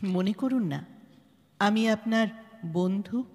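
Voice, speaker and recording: A woman playing a composed, authoritative head of an organisation in a Bengali audio drama, with a Bengali accent and a measured, formal, probing manner. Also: mid-range voice, darkish tone, slightly rough. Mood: probing, calm. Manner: measured, interrogating, firm and formal. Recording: reverberant hall-like echo.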